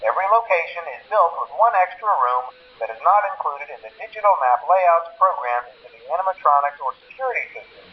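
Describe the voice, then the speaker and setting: A man playing an awkward, polite corporate trainer in a video game, leaving deadpan workplace instructions through a telephone filter. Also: on the high side, fast talker, few pauses. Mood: awkward, matter-of-fact. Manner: hesitant greetings, then polite deadpan procedural delivery. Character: nervous, cheerful corporate phone trainer at a pizzeria. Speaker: employee training caller. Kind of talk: recorded workplace training message. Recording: muffled lo-fi phone recording with faint noise.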